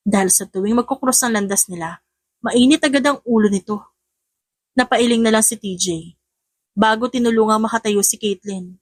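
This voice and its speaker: A woman's voice: on the high side, moderate pace, pauses often, no room sound. A woman with a Filipino accent reading a scripted romance story as an audiobook narrator.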